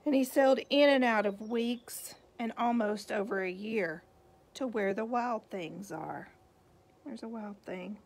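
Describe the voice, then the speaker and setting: Female storybook reader, slightly high voice, very slow delivery, expressive, for a young audience. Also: creaky. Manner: expressive, unhurried, pointing things out to children. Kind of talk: picture-book read-aloud for young children with asides about the pictures.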